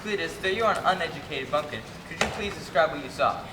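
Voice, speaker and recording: A man's voice: on the high side, expressive, quick pace, far from the mic, natural voice. A man playing an earnest, dramatic radio field reporter in a comic stage radio play. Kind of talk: mock old radio news bulletin on stage. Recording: very noisy, echoey stage from afar.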